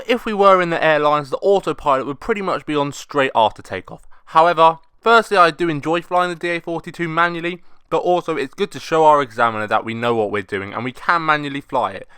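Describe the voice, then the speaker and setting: Clear-voiced young British man narrating. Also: fairly high pitch, animated, a little fast, hardly any pauses, pushed and bright, dry recording. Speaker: student pilot, vlogger. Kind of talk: explanatory voice-over narration.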